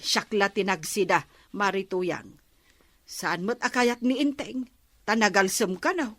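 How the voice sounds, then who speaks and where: A woman's voice: medium pitch, measured pace, fairly bright tone, no echo. A woman with an Ilocano accent playing a doting but easily exasperated housewife in a radio comedy drama. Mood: resigned.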